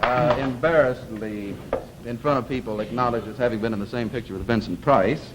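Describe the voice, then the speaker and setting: A man's voice: mid-range voice, fairly quick, rough recording. A man hosting a live 1950s television panel game show with wry banter, on a vintage soundtrack. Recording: vintage TV soundtrack with studio audience noise. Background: studio audience.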